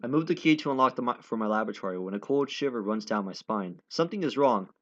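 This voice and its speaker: A man's voice: medium pitch, lively intonation, fast, very noisy. A man reading a visual novel's dialogue and narration aloud as a let's player, stumbling over words and correcting himself. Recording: dull audio with game music behind. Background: game music.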